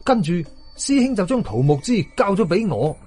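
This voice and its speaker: Husky Hong Kong man telling horror stories. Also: high-pitched, sing-song, moderate pace, a little mellow, faint music behind. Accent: Hong Kong Cantonese. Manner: colloquial storyteller asides and exclamations. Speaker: horror storyteller. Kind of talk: dramatic first-person audio story narration.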